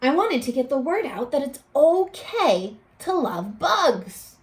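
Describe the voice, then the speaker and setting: A woman teacher reading a picture book aloud to young children, expressively, pausing to put questions to them. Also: high voice. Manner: animated, addressing children with questions and pauses.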